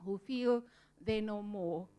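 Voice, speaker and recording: A woman's voice: mid-range voice, slow pace, dark tone. A woman from Sri Lanka speaking on a conference panel, earnest and reflective, with frequent ums as she thinks. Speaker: museum volunteer panelist. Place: conference room.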